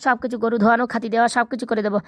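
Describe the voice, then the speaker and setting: A woman's voice: fairly high pitch, little pitch movement, racing, no room sound, studio quality, natural voice. A woman voicing a poor, desperate young runaway man in a comedy cartoon, pleading meekly. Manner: pleading, meek.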